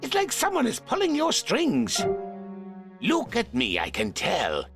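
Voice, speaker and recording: A man's voice: huge pitch swings, measured pace, over music, exaggerated voice. A man voicing a greedy, demanding old sage in a rhyming storybook tale. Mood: grumbling. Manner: shrill, fussy.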